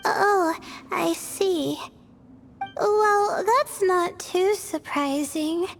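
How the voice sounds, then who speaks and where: A woman's voice: very high voice, extremely slow, creaky, full character voice. A woman playing a young female scholar in a video game, polite and thoughtful, explaining things in an earnest, hesitant manner. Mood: apologetic, hesitant. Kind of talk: English video game voice acting. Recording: faint music behind the voice.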